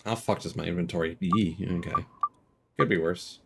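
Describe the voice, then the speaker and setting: A man's voice: low-pitched, moderate pace, vocal fry, heavy background noise. A man live streaming games, chatting casually in a hesitant, meandering way with trailing thoughts.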